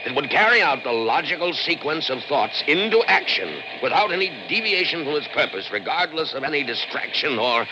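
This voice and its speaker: An actor in a 1960s radio drama playing a brooding, intense scientist with theatrical, deliberate emphasis. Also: male voice, a little high, sing-song, noisy background. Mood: intense, musing. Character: obsessive brilliant male scientist, brooding and intense. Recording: lo-fi old radio broadcast recording.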